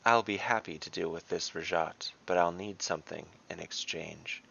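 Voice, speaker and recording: Serene, teasing ice elf lady, acted by a man, low and gravelly, for storybook fantasy. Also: normal speed, bright, forceful tone, muffled recording, heavily acted. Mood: amused anticipation. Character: calm, mischievous female ice elf guardian.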